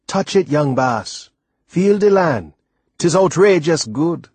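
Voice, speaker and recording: A man voicing a hearty, reassuring old West Indian seaman in an audiobook, speaking dialect emphatically and deliberately. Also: fairly high pitch, expressive, darkish tone, slightly rough, dry recording, voice pushed up, exaggerated voice. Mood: hearty, pleased. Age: older. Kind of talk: audiobook character voice.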